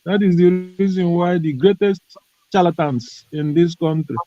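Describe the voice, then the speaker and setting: High-voiced man, impassioned and dull-toned. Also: moderate pace, no room sound. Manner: forceful, scornful of religious leaders. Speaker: panelist. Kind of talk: impassioned political commentary. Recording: muffled lo-fi call audio.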